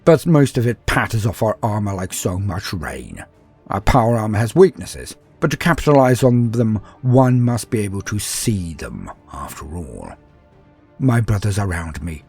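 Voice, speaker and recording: Man acting as proud armored space marine, low husky voice, dramatic swings in tone, scornful, grandiose, sci-fi audio story, faint music. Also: darkish tone, dry recording, heavily acted. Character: proud, battle-hungry armored space marine, superhuman male soldier. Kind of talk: first-person dramatic sci-fi war storytelling.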